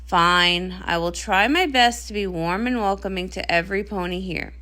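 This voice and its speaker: A woman voicing a grumpy, anxious young pony princess, a tomboy sister with a flat, sardonic, reluctant way of talking. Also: medium pitch, normal speed, voice pushed down, lightly acted.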